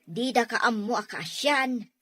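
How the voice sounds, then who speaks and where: A woman playing a caring, concerned aunt in a family drama, expressive and sympathetic in manner. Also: fairly high pitch, a little slow. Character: caring, concerned aunt who indulges her niece. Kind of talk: Ilocano family drama acting.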